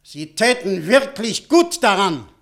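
A smug schemer in an old radio drama, speaking with a raised, rather high voice. He hands out cold threats with an air of superiority, never raising the stakes beyond a calm warning. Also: slow, heavily acted. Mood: menacing. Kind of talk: 1950s radio play acting.

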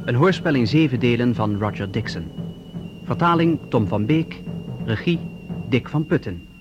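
Male radio play narrator, mid pitch, very varied intonation, portentous scripted delivery, loud music behind, dull vintage sound. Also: animated, moderate pace. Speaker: announcer and narrator. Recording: dull old radio recording under music. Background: music and dramatic sound effects.

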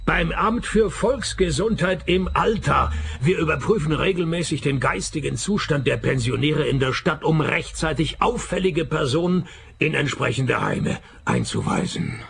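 Wry, gravelly private detective. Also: male voice, fairly high pitch, normal speed. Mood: deadpan. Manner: dry, deadpan, sarcastic. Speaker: lead actor and narrator. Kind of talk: radio play detective narration and dialogue.

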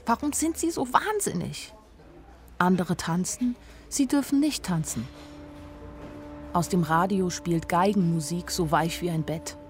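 A woman's voice: on the low side, gravelly, darkish tone. An actress playing a candid, naive nineteen-year-old woman who tells her own story plainly in a radio drama. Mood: anxious, wistful. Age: young adult. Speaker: actress narrating as the main character. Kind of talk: radio drama first-person narration.

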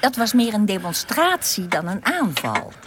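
A woman's voice: mid-range voice, sing-song, normal speed, fairly bright tone, a little hiss or hum. A woman playing a friendly, self-assured young alien spacewoman in a radio drama, polite and easily amused. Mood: amused, helpful.

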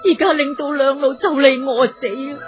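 Woman acting tearful, high-voiced young Cantonese daughter-in-law. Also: steady tone, normal speed, faint music behind, close to an everyday voice. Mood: despairing. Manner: pleading, deferential. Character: devoted, desperate young daughter-in-law in Chinese folk tale. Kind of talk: Cantonese radio drama acting.

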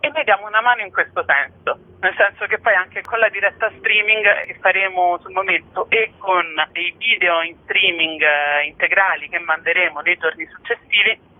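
A woman's voice: on the low side, fairly even intonation, moderate pace, no echo. A woman speaking as an interview guest and festival curator, presenting events in a conversational way. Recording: narrow, tinny phone line. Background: phone call to a radio show.

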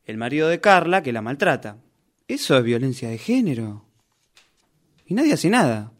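A man acting a defiant character from a novel in a radio play, with an Argentine Rioplatense accent. He is argumentative and reproachful, and his mid-pitched voice swings animatedly as he presses his case. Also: big pitch swings, normal speed, lightly acted. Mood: indignant. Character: indignant, defiant male novel character confronting his author.

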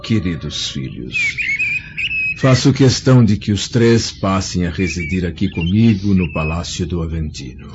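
An actor playing a grieving, aging Roman senator in a radio drama, speaking formally and solemnly. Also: male voice, deep voice, animated, measured pace, noisy background. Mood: tender, grave. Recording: dull lo-fi audio, loud music behind.

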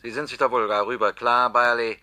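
A pushy political rival, bright sharp voice, sarcastic, radio play. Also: male voice, medium pitch, little pitch movement, a little fast. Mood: pressing. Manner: blunt, pressing, sarcastic. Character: scheming male political opponent, blunt and threatening. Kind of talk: 1960s-70s radio drama acting.

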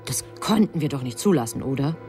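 A woman's voice: very deep voice, animated, brisk, music in the background. An actress playing a self-assured, scheming angel in a radio drama, telling her story in a composed, matter-of-fact way. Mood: cool, self-satisfied. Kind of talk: German radio drama acting.

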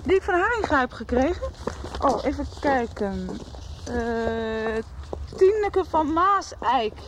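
A woman playing a brisk, practical young woman in a 1990s radio soap. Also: high-pitched, sing-song, noisy background, full character voice. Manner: brisk, coaxing.

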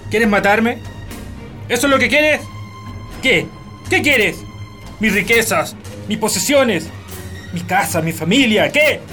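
A wrathful Chilean landowner in a radio drama. His voice is high for a man and sharply bright, with haughty, threatening scorn. Music sits behind him. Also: lively intonation, takes it slowly, long pauses, exaggerated voice. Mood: indignant. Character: stern, wrathful, mocking hacienda patron and father. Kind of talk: Spanish-language radio drama acting.

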